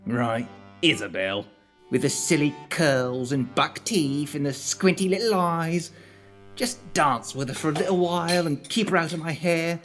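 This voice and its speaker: A woman's voice: sits low, a little slow, faint music behind. A woman playing an eager, lovestruck young male apprentice in a comic, theatrical radio play manner. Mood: conspiratorial. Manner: comic, excitable, conspiratorial.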